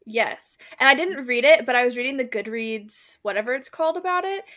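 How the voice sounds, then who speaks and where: A woman's voice: on the high side, expressive, brisk, pushed and bright. A woman co-hosting a book club podcast, talking casually and chattily, often saying "like" as she goes.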